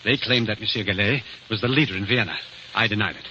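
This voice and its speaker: A man's voice: fairly low pitch, fast, pushed and bright. A man acting a nervous young man accused of spying in an old radio drama, hesitant, stammering and protesting. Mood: anxious, protesting. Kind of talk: 1930s-40s radio acting. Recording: muffled, hissy old radio recording.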